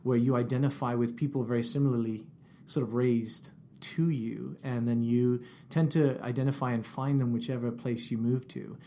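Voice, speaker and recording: A middle-aged man from England who speaks with a Canadian accent, telling his story as an interview guest. His voice is mellow and dark at a middle pitch, and he thinks aloud with fillers and restarts. A faint hiss of room noise sits behind him. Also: level tone, moderate pace. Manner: reflective, many fillers and restarts. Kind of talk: casual interview. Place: office.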